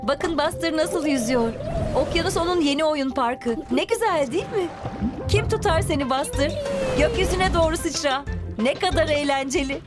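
A woman's voice: high-pitched, lively intonation, normal speed. A woman narrating a children's cartoon expressively, exclaiming and speaking directly to the characters and young viewers. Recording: loud music behind the voice. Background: loud music.

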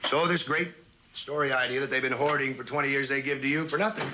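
An actor playing a cocky, wisecracking novelist and charmer in a jaunty, teasing, theatrical style of old television drama. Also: male voice, mid-range voice, steady tone, fast, bright, forceful tone, lightly acted. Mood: breezy, boastful. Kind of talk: 1960s television drama acting. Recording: old TV soundtrack, faint hiss.

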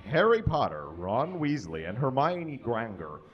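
Male live comic reader, thirties, animated pitch swings, unhurried and halting. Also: lo-fi sound. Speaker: live show performer reading aloud. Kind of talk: comic live reading of fan fiction to an audience. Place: small indoor stage with curtain and brick wall. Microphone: microphone on a stand.

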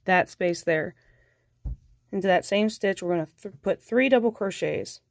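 Woman, stuffy and nasal from a cold, patient crochet teacher, mid pitch, dry close sound. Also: moderate pace, creaky, no room sound. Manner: calm, patient, counts stitches aloud. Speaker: crochet instructor. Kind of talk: step-by-step craft tutorial.

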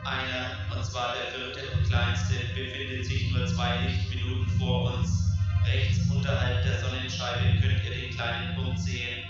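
Man acting a monotone, echoing ship computer. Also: medium pitch, lightly acted. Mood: calm, factual. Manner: measured, factual, explanatory. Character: calm, all-knowing male central ship computer nicknamed Daddy. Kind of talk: science fiction radio play acting. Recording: echoey, distant, dull old cassette with music.

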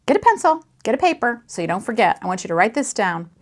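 A woman voicing a concerned, gently firm female Sunday school teacher in a children's story, questioning and gently scolding. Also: on the high side, very animated, fast. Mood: instructing.

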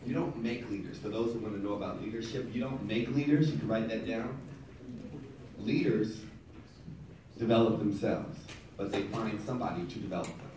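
A man leads a motivational seminar without a microphone, projecting loudly and hammering his points home by repeating them. His voice rings around a hotel conference room and reaches the camera from far off. Steady audience noise sits underneath him. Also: lowish voice, moderate pace, reverberant. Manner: loud, emphatic, repeats key lines to the crowd. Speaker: seminar speaker. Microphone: far camera at back of room, no microphone.

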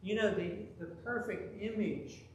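Animated preacher man in echoey church. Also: sits high, unhurried, a little hiss or hum. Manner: animated, gesturing, rising to loud emphasis. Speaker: pastor. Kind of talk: sermon with storytelling. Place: large church sanctuary, at the pulpit. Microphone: pulpit microphone, filmed from far camera. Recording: very echoey church with faint ambience.

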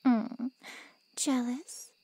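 A woman playing a haughty, callous ancient vampire in an ASMR roleplay drama, with mocking sarcasm and a breathy drawl. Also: fairly high pitch, steady tone, extremely slow, dark tone, no room sound, heavily acted. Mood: taunting.

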